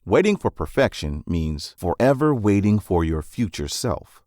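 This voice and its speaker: Deep-voiced, animated male audiobook narrator. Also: a little slow, no room sound, studio quality. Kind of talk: scripted nonfiction narration.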